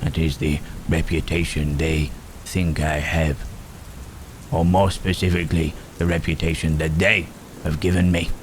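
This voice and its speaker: Guy doing frankenstein's monster for asmr, super deep n slow, gentle, formal halting talk, thunderstorm in the bg. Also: level tone, slight background noise. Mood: brooding, puzzled. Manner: halting, formal, old-fashioned phrasing, murmured "eh?". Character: gentle, brooding Frankenstein's monster, male, protective and thoughtful. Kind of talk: ASMR roleplay acting. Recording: rain and thunder throughout.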